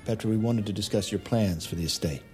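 An actor playing a formal, condescending male senior boss at a finance firm in a screen drama, measured and pressuring. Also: lowish voice, a little mellow, faint music behind, lightly acted. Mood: courteous. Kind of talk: naturalistic screen drama acting.

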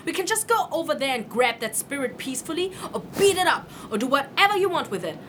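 A woman's voice: sits high, very animated, fast, fairly bright tone, slight background noise. A woman playing a blunt, impulsive young human in a fantasy audio drama, talking casually in blurted, hesitant starts. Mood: defensive.

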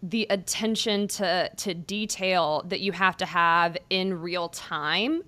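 A woman's voice: fairly low pitch, slow, pushed and bright. A young woman, a sports reporter hosting a podcast, asking questions in a casual, filler-heavy way. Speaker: beat reporter, podcast host. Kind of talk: casual interview chat.

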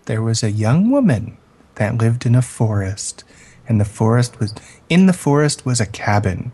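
A man co-hosting a podcast with deadpan, joking banter and storytelling. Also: mid-range voice, husky. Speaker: podcast co-host. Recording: music playing behind the voice.

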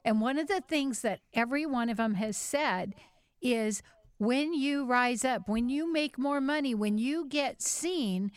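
A woman's voice: a little high, clear, resonant tone, no echo. A middle-aged woman, a radio host, chatting earnestly in a casual panel discussion and thinking aloud.

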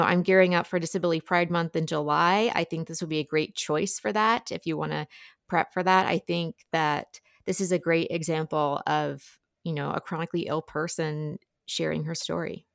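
A woman's voice: on the low side, creaky, dry recording, studio quality. A woman giving a conversational book review as a podcast guest.

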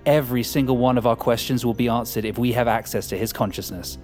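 An actor playing an excitable, scheming scientist in an audio drama, fervent, persuasive and foul-mouthed. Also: male voice, mid-range voice, quick pace, soft background music, natural voice. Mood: excited, urgent. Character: excitable scheming male scientist, profane and visionary.

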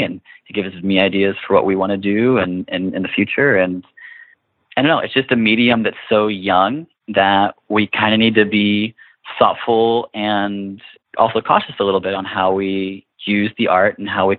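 A man with a lowish voice talks casually and thoughtfully as an interview guest. He sounds like he is on a narrow phone line, dry and without room echo.

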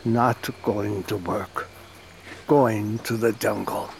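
Old jungle-born miner, real slow and solemn, mystical, audio fiction, noisy background sounds. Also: male voice, fairly low pitch, steady tone, vocal fry. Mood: stubborn resolve. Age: older. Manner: slow, halting, solemn plain sentences. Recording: noisy outdoor ambience behind voice.

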